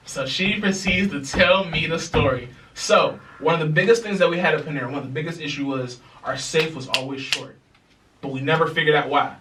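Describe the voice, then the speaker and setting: A young man co-hosting a podcast, telling a story in a casual, animated way, laughing and acting out other people's lines. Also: on the high side, brisk, background noise. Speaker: podcast co-host. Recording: echoey room, mic a bit far.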